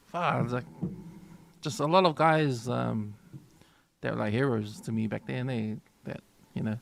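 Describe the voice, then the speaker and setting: A man's voice: mid-range voice, a little slow, gravelly, a little hiss or hum. A man in his forties with a New Zealand accent, co-hosting a sports podcast in an easygoing, rambling way. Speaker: podcast co-host. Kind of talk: casual chat.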